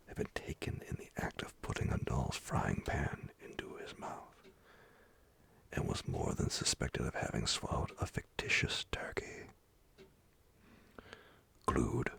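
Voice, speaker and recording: A man reads a story in a soothing, breathy ASMR whisper, close to the mic. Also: a bass voice, no room sound. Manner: soothing, hushed, expressive reading. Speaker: storyteller, narrator. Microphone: close microphone, dry.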